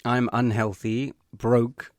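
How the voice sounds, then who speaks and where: A middle-aged man, sick today, with a weak, croaky, raspy voice, co-hosting a casual chat with dry, self-mocking humor. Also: medium pitch, flat delivery, slow, dry recording. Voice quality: raspy, husky. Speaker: podcast co-host.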